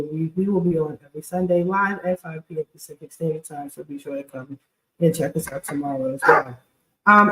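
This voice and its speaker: Scratchy-voiced woman podcaster, echoey room. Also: on the low side. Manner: informal, blunt, slangy talk. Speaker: podcast host and credit repair specialist. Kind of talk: casual chatty livestream monologue.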